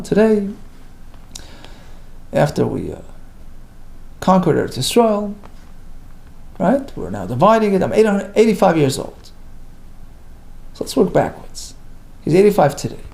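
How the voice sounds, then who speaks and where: Lively, gravelly man teaching Talmud. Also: high voice. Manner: reads Hebrew and Aramaic phrases then translates, lively and emphatic. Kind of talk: daily page lesson, reading and explaining.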